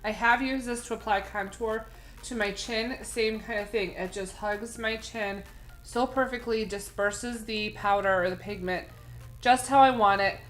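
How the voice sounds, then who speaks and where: A middle-aged woman reviews her makeup favorites in a relaxed, chatty way, her voice a touch hoarse from a cold. She sits at a desk in a room at home, picked up by the camera mic, with faint music playing underneath. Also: mid-range voice, a little slow. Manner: chatty, explaining with frequent fillers. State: slightly hoarse from a head cold. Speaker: beauty vlogger, mother. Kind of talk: casual product review. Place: indoor home room with string lights.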